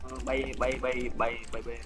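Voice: mid-range voice, level tone, normal speed, heavy background noise. A gamer in casual voice chat with teammates, giving short repeated reactions and callouts. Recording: loud music over voice chat.

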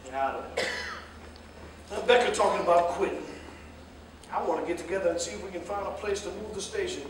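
A man's voice: high-pitched, brisk, natural voice. A man acting an older, fatherly American jitney driver in a staged play reading, with a folksy, emphatic, lecturing way of talking. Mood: matter-of-fact. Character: older railroad pensioner jitney driver, fatherly, plain-spoken adviser. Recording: distant mic, echoey hall, faint noise.

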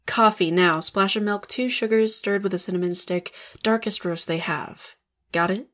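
A woman's voice: medium pitch, moderate pace, a bit bright, lightly acted. A woman playing a cold, calculating spider-woman law boss in an ASMR roleplay, sardonic and condescending. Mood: haughty, mocking. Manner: sardonic, condescending, drawling with smug chuckles.

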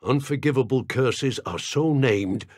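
An actor playing a stern, hot-tempered older British wizard uncle in a video game, gravelly and husky, curt and scolding. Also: male voice, medium pitch, measured pace, no echo, studio quality, lightly acted. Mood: firm, disapproving.

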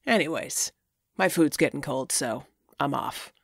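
A woman's voice: deep voice, measured pace, gravelly, background noise. A woman playing a blunt, teasing middle-aged female garage boss in an audio drama. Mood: brusque.

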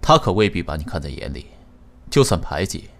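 An actor in a Chinese audio drama playing an indulgent, wistful company president, gentle, measured and understated. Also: male voice, low voice, sing-song, vocal fry, heavily acted. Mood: gently reasoning. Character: soft-spoken, indulgent, wistful male company president in love.

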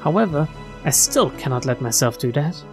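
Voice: medium pitch, lively intonation, moderate pace. A streamer reading visual novel text aloud in a dramatic narrating style. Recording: game music behind the voice.

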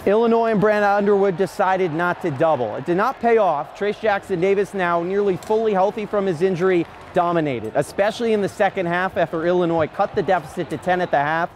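A man's voice: high voice, brisk. A young man delivering a scripted TV sports report as a reporter. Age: young adult. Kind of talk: scripted TV news package. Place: large indoor basketball arena. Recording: faint arena background noise.

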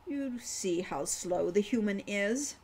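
A woman voicing a scornful, aloof, non-human female shopkeeper of magic in a storybook, condescending and measured. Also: mid-range voice, lively intonation, a little mellow, slight background noise. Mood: scornful, bored.

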